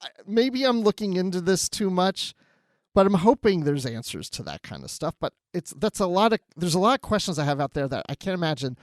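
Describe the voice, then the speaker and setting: Higher-voiced man wondering aloud on a podcast. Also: very animated, fairly quick, no echo, clean studio sound. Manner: animatedly wondering aloud, quoting own thoughts. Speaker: podcast co-host. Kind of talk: casual discussion of a TV show.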